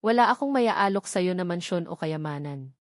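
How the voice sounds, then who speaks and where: A woman's voice: lowish voice, expressive, moderate pace, dry recording, studio quality, natural voice. Woman voicing an earnest, devoted male doctor. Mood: earnest, loving. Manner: earnest, tender, solemn.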